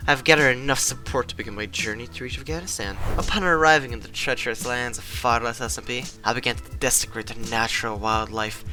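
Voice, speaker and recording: Young man, bright voice, dry mock-heroic gameplay narration, casual swearing. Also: medium pitch, fast, few pauses, faint music behind. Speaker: gaming vlogger. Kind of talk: comedic scripted narration over gameplay.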